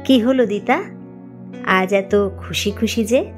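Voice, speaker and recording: A woman's voice: medium pitch, slow, natural voice. The teasing husband of a domestic audio story, as a woman narrator reads him, affable and familiar over faint music. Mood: teasing, surprised. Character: affable husband, teasing, irritable with his nagging mother.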